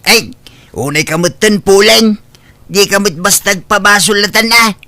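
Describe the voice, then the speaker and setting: A woman acting a blunt, exasperated older woman in a comedy drama. The voice is sharp and forceful, middling in pitch, with a mocking edge. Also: unhurried.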